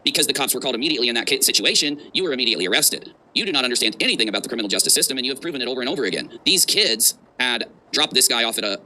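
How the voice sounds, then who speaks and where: A male livestream host delivers mocking reaction commentary at a quick clip. His voice is very bright and forceful, and he leans hard on sarcasm and emphasis. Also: mid-range voice, animated, slight background noise, rough recording.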